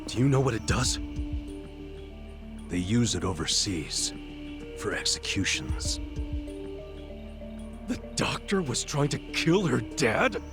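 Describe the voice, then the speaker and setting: A man playing a gutsy, righteous, hot-headed low-ranking yakuza in a game cutscene, blunt and streetwise in his talk. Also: very animated, slow pace, pauses often, over music. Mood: wary, probing. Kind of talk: dramatic game cutscene voice acting.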